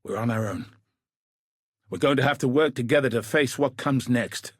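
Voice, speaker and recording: A man plays a battle-scarred military commander from a video game, grave and plain-spoken. His voice is husky and rough at the edges, with a middling male pitch. He speaks with measured, world-weary dryness, recorded close with no room sound. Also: no echo, lightly acted. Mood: grave, bitter. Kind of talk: video game character dialogue.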